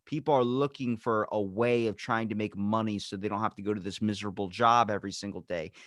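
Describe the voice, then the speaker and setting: A young man hosts a podcast and rants bluntly, swearing freely and getting animated as he makes his points. His voice sits a little low. The recording is dry and close. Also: fast talker, no room sound. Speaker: podcast host. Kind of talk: casual podcast chat.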